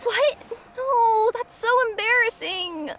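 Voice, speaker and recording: A woman voicing an eager, excitable young female character in an anime video game, with an earnest, animated manner. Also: very high-pitched, slow, a little hiss or hum, full character voice. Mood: flustered. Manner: bright-eyed, earnest, animated.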